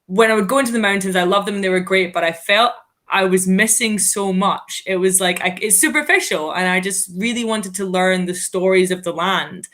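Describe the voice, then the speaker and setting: A Scottish woman from Glasgow, co-host of a folklore podcast, retells old tales. She talks casually with little fillers and restarts. Her storytelling is animated. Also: mid-range voice. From Glasgow, Scotland.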